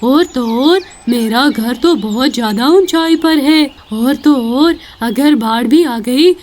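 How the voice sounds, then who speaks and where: A woman's voice: high voice, takes it slowly, a little mellow. A woman playing a helpful, reassuring male pigeon in a cartoon story. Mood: confident, helpful.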